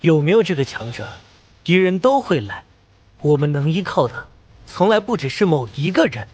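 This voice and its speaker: A man's voice: fairly high pitch, big pitch swings, normal speed, no echo, lo-fi sound. A man playing a resolute, defiant young leader in a motion-comic drama, solemn and commanding. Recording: loud music under the voice. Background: loud music.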